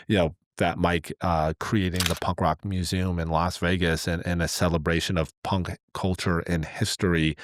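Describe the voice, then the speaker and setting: A man's voice: deep voice, dry recording, clean studio sound. A middle-aged man co-hosting a podcast, talking casually in a rambling, enthusiastic way as he works out his thoughts. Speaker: podcast co-host. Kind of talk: casual conversational podcast talk.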